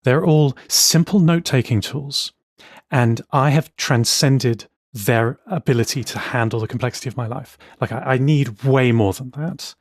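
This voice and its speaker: A man co-hosting a tech podcast talks through his setup step by step, emphatic and enthusiastic. His voice sits at an ordinary pitch. It is recorded close and dry, with almost no room sound. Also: moderate pace, no room sound. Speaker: podcast co-host. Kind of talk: casual tech chat with screen demo.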